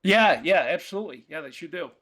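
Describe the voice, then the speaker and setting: A man from New York with a New York accent, whose first language is Italian, giving a halting virtual-class lecture as an economics professor, full of fillers and restarts. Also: sits high, lively intonation, fairly bright tone. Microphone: video call.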